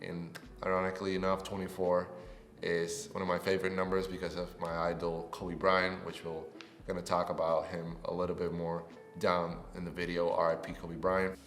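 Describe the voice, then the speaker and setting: A man's voice: low-pitched, moderate pace, soft background music. A man in his twenties from Puerto Rico, a native Spanish speaker, giving an upbeat, chatty introduction on his new channel. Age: 20s. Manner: upbeat and chatty, rising with excitement. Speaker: YouTuber. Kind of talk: casual vlog self-introduction. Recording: echoey room with long reverb.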